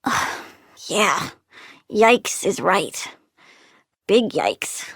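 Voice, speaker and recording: Moody child plant villainess for a game: sky-high, animated, dry close sound, sweet turning sinister. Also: female voice, drawn-out words, no room sound, full character voice. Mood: grumpy. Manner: childlike, petulant, excitable, swinging from sweet to sinister. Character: plant-loving young girl villain, childish, moody, gleefully menacing. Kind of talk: video game character voice lines.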